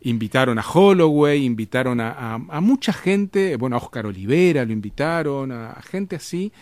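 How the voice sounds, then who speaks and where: Man, Argentine accent, average pitch, casual rambling anecdotes, repeated tag questions, dry room. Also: animated, normal speed, a little mellow, no echo. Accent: Argentine Spanish. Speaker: interviewed author. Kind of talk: casual conversational storytelling.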